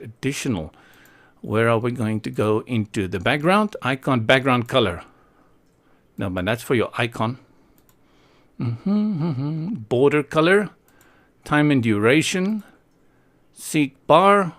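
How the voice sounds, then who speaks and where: Male tutorial presenter from South Africa, mid-pitched, casual and playful, thinks aloud and gives frank opinions.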